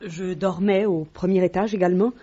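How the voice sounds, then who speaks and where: A drowsy, easygoing young man, played by a man answers questions in a vintage radio play. His voice sits high, with a dull, muffled old-recording sound. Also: steady tone, moderate pace, warm tone. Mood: sheepish. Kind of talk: vintage radio drama acting.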